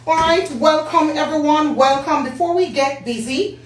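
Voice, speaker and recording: A hoarse middle-aged woman with a Jamaican accent hosts a business talk live stream, chatting and greeting viewers by name. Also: sits high, normal speed. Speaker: business talk show host. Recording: echoey room, distant mic, faint hum.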